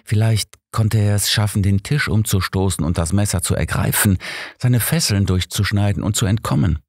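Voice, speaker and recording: A man with a deep voice narrates crime fiction from a script. The recording is dry, with very little room sound, as in an audiobook. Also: moderate pace, a little mellow, dry recording.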